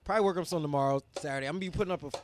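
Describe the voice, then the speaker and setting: Joking male podcast host, fast and high, light noise behind. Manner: joking, rapid, laughing often. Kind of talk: casual chat.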